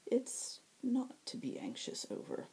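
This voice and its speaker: A woman voicing a gentle, affectionate cat-like female fairy healer in a story, speaking in formal, soothing phrases. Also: a little high, huge pitch swings, unhurried, voice pushed up, full character voice.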